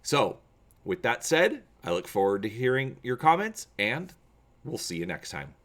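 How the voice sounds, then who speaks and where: A man reading an adventure gamebook aloud as a channel host, with measured, theatrical, deliberate phrasing. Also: mid-range voice, sing-song, fairly bright tone. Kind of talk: dramatic audiobook-style reading of an adventure gamebook.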